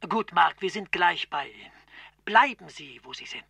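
A man playing a smooth, scheming male EU director general who talks in a formal, coolly reassuring way in a radio drama. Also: sits high, expressive, normal speed, pushed and bright, no room sound, full character voice. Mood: urgent.

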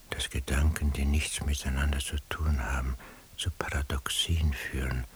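Very deep, slow, brooding night watchman. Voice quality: very deep, breathy half-whisper. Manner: slow, measured, introspective. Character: brooding, weary male surveillance eavesdropper on night watch. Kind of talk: literary radio drama interior monologue. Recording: hissy radio drama recording.

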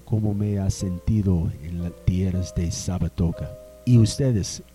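Guy doing a refined old 1800s gentleman, slow and thoughtful, lowish voice, guitar music behind. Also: steady tone. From Colombia. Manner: slow, reflective, storytelling. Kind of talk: radio drama acting. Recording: music bed under the voice.

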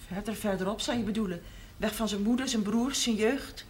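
A woman's voice: mid-range voice, brisk, close to an everyday voice. An actress playing a hurt, clinging older mother in a television drama, speaking plaintively and with reproach. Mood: reproachful. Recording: faintly noisy old television soundtrack.

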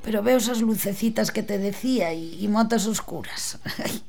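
A tired old seamstress wife. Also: female voice, mid-range voice, normal speed, vocal fry, darkish tone, soft background music. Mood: weary tender. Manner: tired, sighing, tender. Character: weary older working-class seamstress wife. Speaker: servant seamstress. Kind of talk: radio drama acting.

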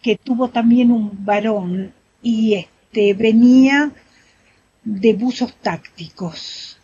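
An older woman giving testimony haltingly, with many fillers and pauses. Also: on the high side, dark tone, slightly rough. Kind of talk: spoken testimony. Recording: dull, lo-fi testimony recording.

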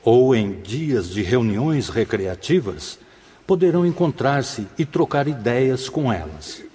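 A man's voice: mid-range voice, sing-song, slow pace, warm tone, husky, heavily acted. An actor playing a fatherly, solemn spirit mentor who teaches formally and measuredly in a radio drama. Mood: solemn, instructive. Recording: long reverb, music behind.

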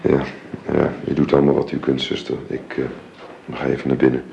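An actor playing a weary, worried husband with an ailing wife in a radio drama, halting, subdued and gentle. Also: male voice, a bass voice, little pitch movement, creaky, lo-fi sound, a little hiss or hum, exaggerated voice. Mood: polite, weary.

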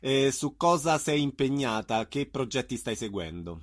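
A man with an average-pitched voice hosts an arts interview on the radio. He puts his questions in an informal, measured, conversational way. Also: expressive.

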